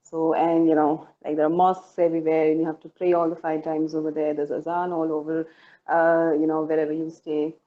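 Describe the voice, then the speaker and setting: Halting woman on a dull lo-fi recording. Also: sits low, level tone. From Dubai. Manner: halting, many fillers and restarts. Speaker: panel guest, mother and blogger. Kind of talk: casual panel talk.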